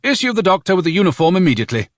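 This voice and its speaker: A man's voice: on the high side, very animated, brisk, no room sound, studio quality, pitched above the performer's own voice. A man voicing a suave, insincere army colonel in an audiobook, with honeyed, emphatic politeness. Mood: brisk command.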